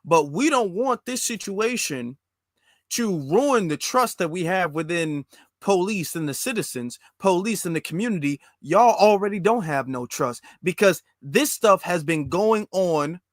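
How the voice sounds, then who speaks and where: A man's voice: sits high, expressive, unhurried, no echo, clean studio sound. An American man giving impassioned political commentary as a host, emphatic and repetitive, with rhetorical questions.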